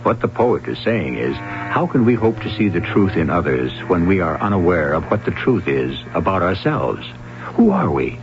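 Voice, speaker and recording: A man's voice: low-pitched, big pitch swings, moderate pace. A man hosting and narrating a radio mystery in a theatrical, portentous and wry scripted style. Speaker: host and narrator. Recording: dull old radio recording. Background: music.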